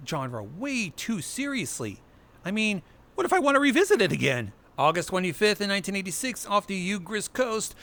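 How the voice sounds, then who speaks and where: Young guy doing a horror news recap, bright voice, jokey asides. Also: high voice. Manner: wry asides and swearing jokes. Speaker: host of an analog horror news roundup. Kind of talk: casual recap of online horror series.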